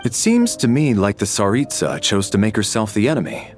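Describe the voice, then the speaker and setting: An actor playing a composed, principled military man with a kind streak, measured and formal, in a game dub. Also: fairly low pitch, big pitch swings, a little mellow. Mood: calm, explanatory. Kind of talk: English game dub voice acting. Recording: music under the voice.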